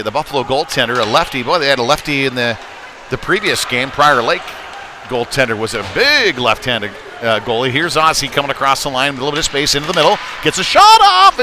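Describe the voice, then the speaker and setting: A man doing live play-by-play hockey commentary with folksy, hesitant phrasing from inside an ice arena. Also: mid-range voice. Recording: live rink broadcast with loud background noise. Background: loud arena ambience.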